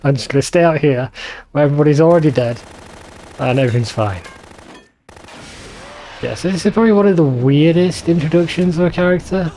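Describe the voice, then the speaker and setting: A man's voice: fairly high pitch, brisk, pauses often, warm tone, slight background noise. A man hosting a let's play, giving casual game commentary with wry, sweary asides.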